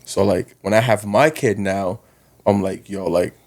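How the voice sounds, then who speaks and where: A man's voice: lowish voice, measured pace. A man from South Sudan talking casually and informally as a podcast guest, with slang and frequent "you know". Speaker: guest, college basketball coach.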